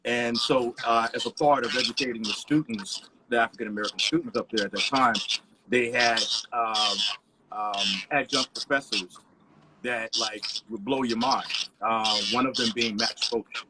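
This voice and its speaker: A man's voice: mid-range voice, steady tone, normal speed, very noisy. A man, a resident DJ, telling stories in a loose, rambling way full of "you know" over a video call. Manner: laid-back, rambling, many "you know" fillers. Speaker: resident DJ guest. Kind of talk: casual storytelling interview.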